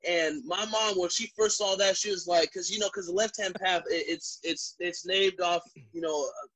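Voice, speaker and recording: Brief: male wrestler guest, light higher voice, animated and laughing, dry close sound. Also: measured pace, no room sound, rough recording. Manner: animated storyteller, laughs often. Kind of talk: casual podcast interview.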